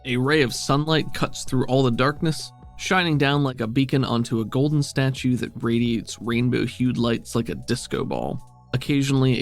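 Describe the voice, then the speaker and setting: Man, game master, middle-pitched voice, measured descriptive narration, faint music behind.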